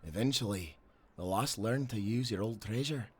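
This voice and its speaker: A man's voice: on the low side, normal speed, husky. Man acting an earnest seasoned sailor telling his tale. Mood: nostalgic. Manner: earnest storytelling, archaic nautical turns of phrase. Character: seasoned old sailor and adventurer, devoted, nostalgic. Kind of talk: cinematic audio drama acting.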